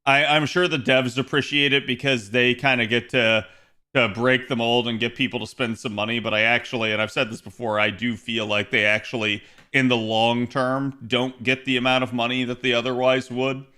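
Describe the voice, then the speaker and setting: A man live-streaming from home with a bright, forward voice that is roughened by hoarseness. He rambles through loose, chatty talk full of fillers and restarts. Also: medium pitch, level tone, moderate pace. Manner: rambling, self-correcting, many fillers. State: hoarse, slightly raspy voice. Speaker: game streamer. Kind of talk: casual live-stream chat, talking to viewers.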